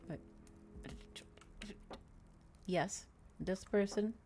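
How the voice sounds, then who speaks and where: A woman's voice: medium pitch, drawn-out words, dark tone, a little hiss or hum. A woman hosting a livestream, chatting casually with viewers in a rambling way, often repeating and restating her phrases. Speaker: VTuber livestream host.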